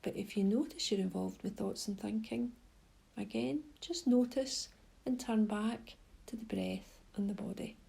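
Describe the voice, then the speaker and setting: A woman leading a guided meditation in a soft, breathy voice, giving gentle, unhurried instructions with pauses. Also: medium pitch. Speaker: mindfulness guide.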